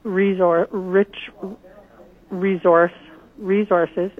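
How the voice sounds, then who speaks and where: Husky-voiced woman on a phone line. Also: on the low side, level tone, extremely slow. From northern British Columbia, Canada. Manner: earnest, plain-spoken, with frequent restarts. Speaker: political candidate. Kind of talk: radio interview.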